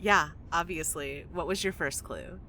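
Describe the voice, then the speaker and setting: A woman's voice: low voice, a little slow, sharp tone, slight background noise. A woman in an audio drama playing a blunt, wry young newcomer with casual, dry, naturalistic delivery. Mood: wry, friendly.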